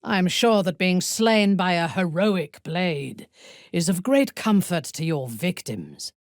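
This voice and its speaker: An actress playing a cold, ruthless dark elf warrior-priestess in a video game, haughty and deliberate, with a breathy, husky voice. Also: female voice, fairly low pitch, expressive, no echo, studio quality. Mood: measured, haughty. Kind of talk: video game character dialogue.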